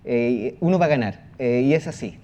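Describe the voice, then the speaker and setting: A man with a dark, mellow voice talks off the cuff as a panel guest. He moves haltingly, doubling back on words, with faint ambient noise behind him. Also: medium pitch, lively intonation. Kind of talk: spontaneous panel talk. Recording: clear background ambient noise.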